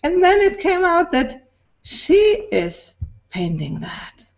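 A woman's voice: very high-pitched, very animated, slow pace, vocal fry. Middle-aged woman hosting a video call. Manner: directive, prompts guests. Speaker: host. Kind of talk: hosting an online party call. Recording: muffled video call audio.

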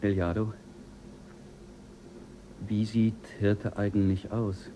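An actor playing the commanding officer of a spaceship crew, giving urgent orders in a radio drama. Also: male voice, lowish voice, flat delivery, slow, warm tone, slight background noise. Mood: tense. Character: ship commander Jell, tense and in charge. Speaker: crew leader.

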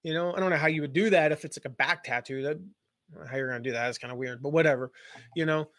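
A man's voice: a little high, fast, fairly bright tone, no echo, studio quality. A man giving a casual live-stream business lesson, rambling and joking with lots of fillers and restarts. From Southern United States. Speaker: online business coach.

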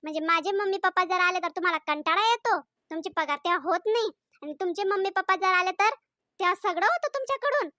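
Woman voicing a bright, high-pitched, scolding young cartoon housewife. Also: fast talker, no room sound, studio quality. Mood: indignant. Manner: chatty, insistent, scolding. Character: young married housewife, quick to take offense. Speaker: wife. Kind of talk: comedy cartoon voice acting.

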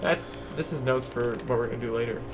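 A man's voice: medium pitch, fast. A man hosting a casual video game playthrough, chatting in jokey, silly slang and mild mock insults over game ambience. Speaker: gaming channel host, player. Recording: noisy, game sound behind voice. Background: horror video game ambience.

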